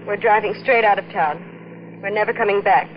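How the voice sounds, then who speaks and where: A woman's voice: medium pitch, normal speed, close to an everyday voice. An actress playing a resolute, anguished woman, grave and determined in 1940s radio drama style. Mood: grim resolve. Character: resolute, anguished wife confronting a killer. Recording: muffled, noisy old radio recording.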